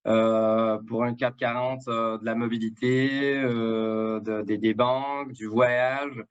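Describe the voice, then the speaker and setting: A man's voice: mid-range voice, fairly even intonation, slow pace, clear, resonant tone, muffled recording. Hesitant Mexican man, Québécois-tinged French. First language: Spanish. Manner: hesitant, many fillers and repeated words. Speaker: guest, international development director. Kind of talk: live interview.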